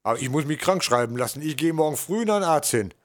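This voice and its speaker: A man's voice: on the high side, animated, quick pace. An older man in casual chat, grumbling and complaining, with frequent hesitations and fillers. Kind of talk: casual chat between two older workers.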